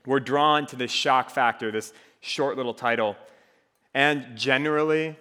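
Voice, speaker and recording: Young man, faint Minnesotan accent, casual joking sermon, mid-pitched voice, reverberant hall. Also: unhurried. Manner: relaxed, joking, conversational. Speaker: campus ministry speaker. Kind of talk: casual sermon to a student group.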